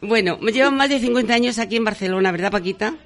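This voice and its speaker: A woman's voice: mid-range voice, fast. An older woman hosts a radio interview from the studio. She talks in a hesitant way, full of fillers and restarts. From Andalusia, Spain. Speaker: radio host.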